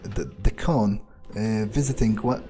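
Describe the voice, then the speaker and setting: A man improvising in-character video game commentary, thinking aloud in a deadpan, callous way with pauses. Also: lowish voice, background noise. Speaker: gameplay player staying in character.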